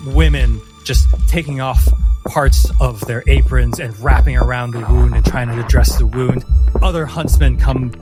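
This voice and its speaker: A man narrating a dramatic tabletop roleplaying story as game master, measured and deliberate, with theatrical pauses. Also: mid-range voice, loud music behind the voice, rough recording.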